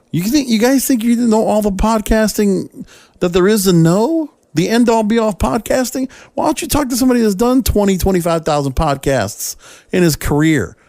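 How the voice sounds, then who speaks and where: A man with a slightly high voice hosts a casual solo podcast. His delivery is conversational and emphatic, with very lively intonation that rises and falls widely. The sound is dry, with hardly any room in it. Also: no room sound. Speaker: podcast host.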